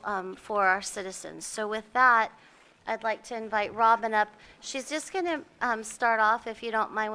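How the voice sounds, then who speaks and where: A woman on town staff briefs a council, explaining the details steadily and with plenty of fillers. Her voice is bright and crisp, and her pitch stays fairly flat. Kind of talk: presenting an agenda item.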